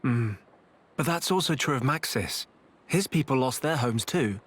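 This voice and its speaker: A man's voice: mid-range voice, animated, moderate pace, a bit bright, husky. A man playing an earnest, polite and resolute young hero in a video game. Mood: reflective, earnest.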